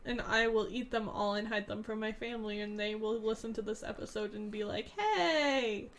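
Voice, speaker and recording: A woman's voice: on the high side, moderate pace. A young adult woman co-hosting a podcast in easygoing, giggly casual chat, her voice a little scratchy, over a remote call. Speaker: podcast co-host. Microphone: remote call, old headphones.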